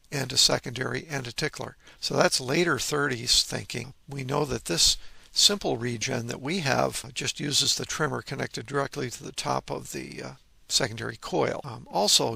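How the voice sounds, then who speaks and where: A man giving an informal technical explanation in a measured way, leaning on key words as he presents. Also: mid-range voice, vocal fry, sharp tone, slightly rough, dry recording. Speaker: presenter of a vintage ham radio channel.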